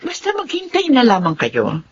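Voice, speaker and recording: A woman's voice: high-pitched, wildly swooping pitch, moderate pace, dull audio, slight background noise. A woman playing a polite, dutiful office boss with something to hide in a Tagalog drama. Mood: official, measured. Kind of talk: Tagalog radio-style drama acting.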